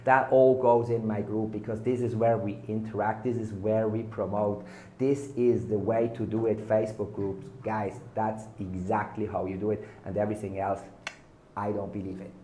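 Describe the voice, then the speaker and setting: A man's voice: lowish voice, level tone, normal speed, warm tone, a little hiss or hum. Hyped German-accented man in an echoey room. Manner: hyped, salesy, repeats buzzwords. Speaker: online marketing vlogger. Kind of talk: casual direct-to-camera sales talk.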